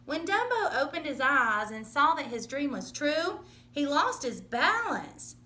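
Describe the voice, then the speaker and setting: A woman reading a children's story aloud in an expressive, dramatic storytelling manner. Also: high-pitched, a little slow. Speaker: storybook reader.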